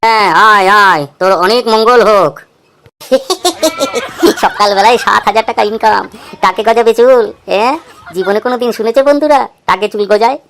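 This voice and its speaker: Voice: medium pitch, lively intonation, normal speed, fairly bright tone. An actor voicing a sly, bossy fake holy man in a comic cartoon. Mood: gloating.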